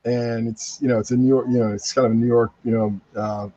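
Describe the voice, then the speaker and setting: A man chatting casually as a guest, rambling through animated personal anecdotes, on muffled online-call audio. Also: mid-range voice, fast, no echo. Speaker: podcast guest, voice actor. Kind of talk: casual chat.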